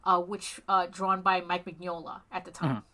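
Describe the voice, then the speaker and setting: A middle-aged woman from Spain with a bright, crisp tone. She talks casually as an interview guest over a video call, often restarting her sentences and breaking into chuckles. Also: lowish voice, level tone. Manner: halting, with frequent restarts and chuckles. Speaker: comic artist guest.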